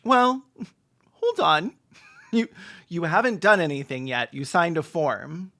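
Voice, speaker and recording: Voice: medium pitch, expressive, takes it slowly, pushed and bright. Sardonic, campy video commentator. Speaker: video essayist, film commentator. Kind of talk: sarcastic comedic commentary.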